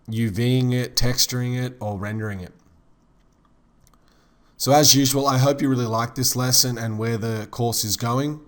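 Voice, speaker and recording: A man teaching 3D modeling in a relaxed, rambling tutorial voice-over, slightly hoarse as he loses his voice. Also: mid-range voice. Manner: relaxed, rambling, many fillers like "kind of". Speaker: 3D modeling instructor. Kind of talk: casual tutorial narration.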